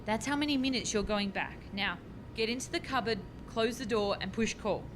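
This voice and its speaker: An actress playing an earnest, bossy, harried young scientist in a radio farce, explaining things insistently. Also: female voice, a little high, moderate pace, background noise, close to an everyday voice. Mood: urgent, instructing. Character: earnest, bossy, harried female doctoral time-travel researcher.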